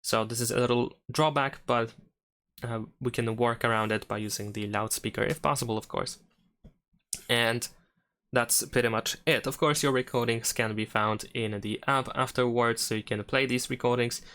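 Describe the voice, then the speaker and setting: A man's voice: mid-range voice, fairly even intonation, normal speed, a bit bright. A man hosting a tech tutorial, explaining steps in a casual, easygoing way as he goes along. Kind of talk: casual step-by-step tutorial narration.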